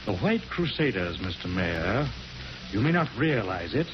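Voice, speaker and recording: An actor playing a pompous, menacing rich colonel in a 1940s radio drama, speaking formally. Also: male voice, on the low side, sing-song, a little slow. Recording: muffled, hissy 1940s radio recording.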